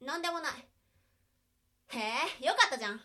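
Woman acting a bright, high-pitched tsundere teenage girl. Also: big pitch swings, a little fast, exaggerated voice. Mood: subdued, wistful. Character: teenage girl childhood friend, tsundere, hiding her feelings. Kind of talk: amateur radio drama acting.

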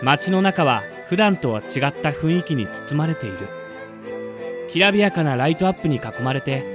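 A man's voice: on the high side, expressive, normal speed. A man reading scripted narration for a radio drama. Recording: music under the voice.

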